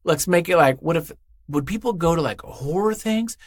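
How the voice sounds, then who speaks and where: A man's voice: on the high side, lively intonation, normal speed, dry recording, studio quality. A man chatting casually as an interview guest, telling things in a rambling way and acting out imagined quotes. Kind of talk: casual chat.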